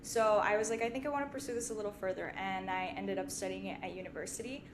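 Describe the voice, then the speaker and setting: A young woman from Syria with a medium-pitched voice talks in a casual, chatty interview style. She drops "like" into her sentences often. There is clear noise and rumble behind her. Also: quick pace. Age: 20s. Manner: chatty, informal, frequent "like". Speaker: podcast guest, finance company partner.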